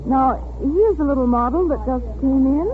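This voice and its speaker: A woman's voice: high voice, normal speed, a little hiss or hum, natural voice. An actress playing a polite, perceptive dress shop saleswoman in a 1940s radio drama. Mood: helpful, knowing. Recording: tinny old radio recording with music.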